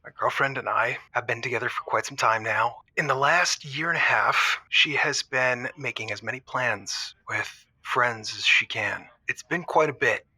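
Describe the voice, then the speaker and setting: A man acting as a worn-out, overwhelmed boyfriend calling a radio advice show. His voice is very bright and forceful with a husky rasp, recorded close and dry. He rambles haltingly through his complaints like an improvised comedy call. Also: medium pitch, dry recording. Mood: weary, exasperated. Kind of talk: improvised comedic phone-in monologue.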